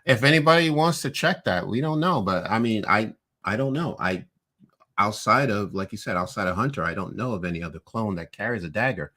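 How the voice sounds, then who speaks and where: A low-pitched man co-hosting a relaxed live-stream recap. He talks loosely and animatedly, restarting sentences and leaning on "you know" as he walks through each scene. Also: fairly quick. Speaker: podcast co-host. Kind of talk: casual live-stream chat recapping an episode.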